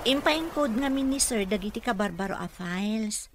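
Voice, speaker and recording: A teasing office colleague played by a woman speaks with animated intonation over clear noise. She is efficient and matter-of-fact, in a radio comedy drama. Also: mid-range voice, normal speed, close to an everyday voice.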